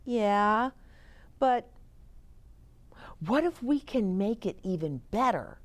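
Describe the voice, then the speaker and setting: Woman putting on excitable, high-pitched storybook boys. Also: slow. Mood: eager. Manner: exclaiming, eager. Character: excitable young storybook boys, teasing then eager.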